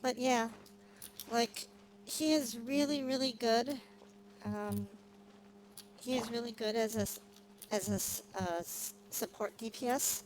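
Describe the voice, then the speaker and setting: A woman's voice: on the high side, steady tone, unhurried, pauses often, a little hiss or hum, poor audio. A woman streaming a game with casual commentary, thinking aloud in a rambling, hesitant way. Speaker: streamer, vtuber host. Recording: game music playing behind the voice. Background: video game music.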